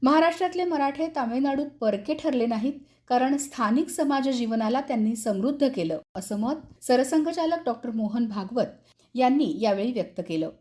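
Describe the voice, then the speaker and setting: Mellow, higher-pitched woman newsreader. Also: lively intonation, moderate pace. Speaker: news presenter. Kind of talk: scripted radio news narration.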